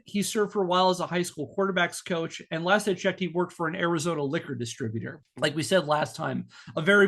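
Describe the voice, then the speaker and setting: A man's voice: sits high, a bit bright. An American man hosting a podcast, reading scripted narration with casual asides. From northeastern United States. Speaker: podcast host.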